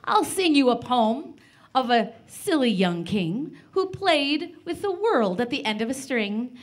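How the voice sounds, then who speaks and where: A woman's voice: sits high, big pitch swings, unhurried. A middle-aged woman presenting live, playful and chatty as she introduces and reads poetry to children. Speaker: live radio show presenter. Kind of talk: introducing and reading a poem to children. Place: large library event room with audience.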